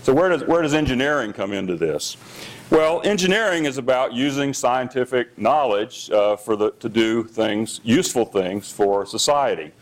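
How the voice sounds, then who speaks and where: A middle-aged man with a loud voice giving a public lecture as an engineering dean, pausing often with fillers and restarts. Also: mid-range voice, lively intonation. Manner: hesitant, many fillers and restarts. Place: lecture hall.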